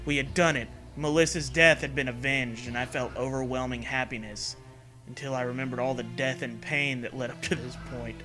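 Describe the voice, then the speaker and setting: A man's voice: medium pitch, fairly even intonation, fairly quick, pushed and bright, low-quality recording. A man co-hosting a comedy riffing show, switching between mock-dramatic story reading and crude, sweary, sarcastic joking. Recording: music behind the voice. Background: music.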